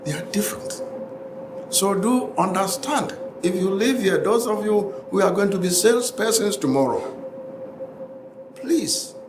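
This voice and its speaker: A man's voice: high-pitched, animated, measured pace. A man with a Ghanaian accent giving an emphatic classroom lecture, repeating phrases for stress. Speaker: college lecturer. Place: classroom, indoors. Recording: echoey classroom, noisy, room ambience.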